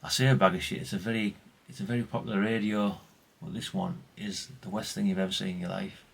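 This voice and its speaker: A man's voice: fairly low pitch, level tone, fast, creaky, breathy edge. A middle-aged man chatting casually and colloquially in a rambling vlog, often tagging questions onto what he says. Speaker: vlogger, radio collector.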